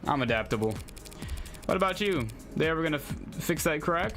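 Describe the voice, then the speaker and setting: A man's voice: a little high, lively intonation, a little fast, a bit bright, soft background music. A man playing a wry, joking detective in a visual novel game, with a dry, sardonic manner. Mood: wry, teasing. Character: wry, joking counselor-detective arriving at work.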